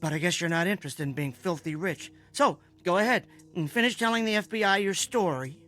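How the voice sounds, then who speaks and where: An actor playing an earnest, geeky middle-aged American husband in an audio drama, an eccentric inventor with an eager, explaining manner. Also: male voice, high-pitched, fairly quick, fairly bright tone, soft background music. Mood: insistent.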